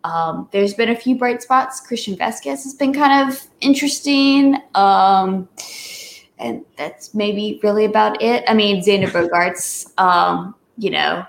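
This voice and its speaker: A woman guesting on a podcast, talking casually and haltingly with many fillers and restarts. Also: medium pitch, gravelly. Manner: hesitant, lots of fillers and restarts. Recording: roomy echo.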